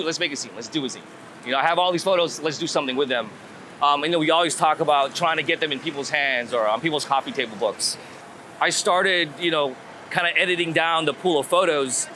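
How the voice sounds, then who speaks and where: Bright-voiced man chatting casually on the street. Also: fairly high pitch. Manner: halting, thinking aloud, repeats words. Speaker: street photographer guest. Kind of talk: casual walk-and-talk interview. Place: outdoors on a city street. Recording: outdoor street recording with city traffic noise. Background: urban ambience and vehicles.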